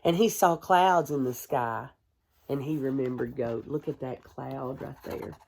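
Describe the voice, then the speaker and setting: Lady teacher reading a picture book to little kids, low voice, really slow and gentle. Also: vocal fry. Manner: gentle, hushed, expressive storytelling with deliberate pauses; addresses young listeners with simple questions. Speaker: kindergarten teacher reading aloud. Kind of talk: storybook read-aloud to young children with asides.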